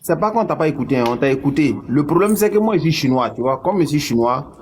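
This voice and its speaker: A man's voice: a little high, animated, fast talker. Patient man in casual voice chat.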